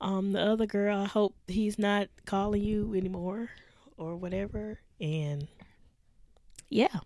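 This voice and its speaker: A hoarse woman co-hosting a podcast, chatting casually with laughs and slow, drawn-out lists. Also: medium pitch, steady tone, darkish tone, no echo, studio quality. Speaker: podcast co-host. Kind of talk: casual chat.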